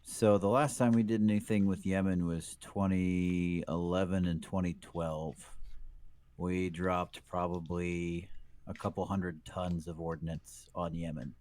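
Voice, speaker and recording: Low-pitched male co-host, terse and dry. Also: little pitch movement, a little slow, a little mellow. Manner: terse, dry one-word replies. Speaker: podcast co-host. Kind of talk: casual podcast chat.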